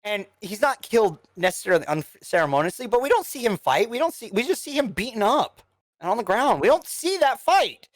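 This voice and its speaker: A woman with a lively, swooping delivery in a close, dry recording. She co-hosts a casual review show and gives opinions bluntly, with slang and swearing. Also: fairly high pitch, brisk, no room sound. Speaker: podcast co-host reviewing animated films.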